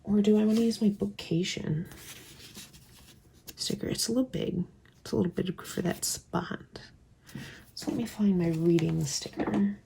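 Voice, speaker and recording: A woman's voice: medium pitch, fairly even intonation, a little slow, creaky, pauses often, mellow, slight background noise. A woman with a slight cold and a stuffy voice, chatting casually as she plans, thinking aloud and often dropping to a whisper. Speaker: planner vlogger. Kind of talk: casual craft chat while planning.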